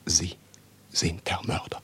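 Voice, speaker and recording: An actor in a German radio play, playing an impoverished, pedantic amateur sleuth who speaks formally and deferentially in long, elaborate sentences. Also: male voice, slow, pushed and bright, in a whisper. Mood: earnest, insistent.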